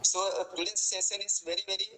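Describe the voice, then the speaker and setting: Bright-voiced man giving thanks. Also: high-pitched, level tone. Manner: formal, halting, stacked honorifics. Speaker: organizer giving the vote of thanks. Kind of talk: formal vote of thanks.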